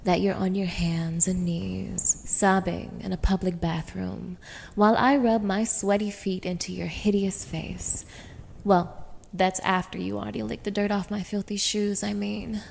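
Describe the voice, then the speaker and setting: A woman plays a haughty, mocking young woman in a scripted audio roleplay, addressing the listener with open contempt. Her mid-pitched voice has a gravelly creak as she taunts and gives orders. Long reverb surrounds every word. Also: level tone, a little slow, darkish tone, slight background noise. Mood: scornful, menacing. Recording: reverberant, echoing room.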